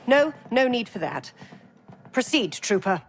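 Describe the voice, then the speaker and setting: A woman's voice: a little high, sing-song, a little slow. A woman playing a formal, suspicious Imperial officer in a cinematic game, with a questioning, officious manner. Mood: uneasy. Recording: noisy ambience around the voice.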